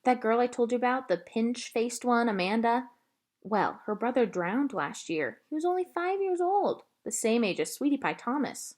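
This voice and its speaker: An earnest young girl from a children's storybook, acted by a woman, wistful yet eager. Her speech is expressive and pleading, swinging widely in pitch. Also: moderate pace. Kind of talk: children's audiobook character voice.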